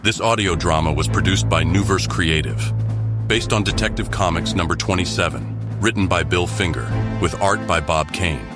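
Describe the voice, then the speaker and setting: A man narrates a scripted audio drama in a deep voice. His delivery is dramatic storytelling. Music plays clearly behind him. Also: normal speed.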